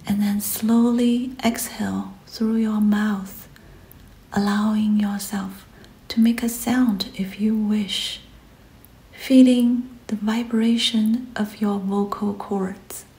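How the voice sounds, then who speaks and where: A woman leading a scripted guided meditation in a soft, breathy, often near-whispered voice, gentle and soothing, with pauses between short phrases. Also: medium pitch, fairly even intonation.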